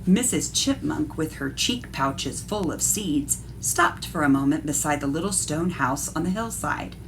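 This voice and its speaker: A woman's voice: sits low, animated, unhurried, noisy background. A woman art teacher reading a picture book aloud expressively and explaining things to young listeners. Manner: instructive, addresses young listeners directly.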